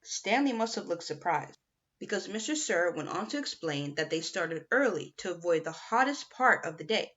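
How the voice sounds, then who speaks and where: A woman's voice: lowish voice, animated, moderate pace, fairly bright tone. A woman reading a children's novel aloud as scripted audiobook narration, on a lo-fi home recording. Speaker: audiobook reader.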